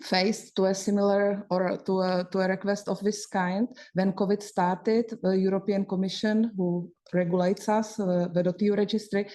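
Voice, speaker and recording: Woman, panel moderator, flat low voice, mellow, measured. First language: Czech. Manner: measured, steering the discussion. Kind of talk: panel discussion.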